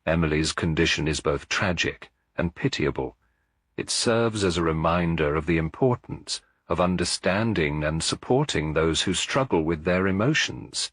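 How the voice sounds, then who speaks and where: A gentle elderly lady sleuth from a mystery audiobook , voiced by a man. She consoles in formal, measured phrases, with a low husky tone and lively rise and fall. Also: dry recording, studio quality. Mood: gently counselling.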